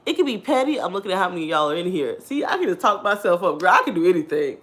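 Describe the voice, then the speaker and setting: A woman in her thirties with a deep, husky voice, talking candidly to her viewers in a casual chat and laughing often. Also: very animated, racing. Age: 30s. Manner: chatty and candid, laughs often, addresses viewers as "girl" and "y'all". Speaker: vlogger.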